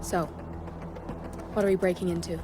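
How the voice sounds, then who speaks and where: A woman's voice: lowish voice, little pitch movement, normal speed, over music, close to an everyday voice. An actress playing a sardonic young hacker who briefs her partner in a blunt, wry, matter-of-fact way. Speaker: hacker and handler. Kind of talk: video game cutscene acting. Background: game score.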